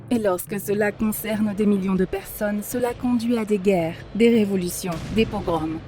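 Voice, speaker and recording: An earnest female teacher figure from a cartoon, acted by a woman, explains things in measured, instructive phrases. Her tone is very mellow and rounded, at a middling pitch, with faint music underneath. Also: natural voice. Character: female mentor earnestly lecturing on child psychology. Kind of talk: dubbed cartoon dialogue, didactic monologue. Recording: music behind the voice.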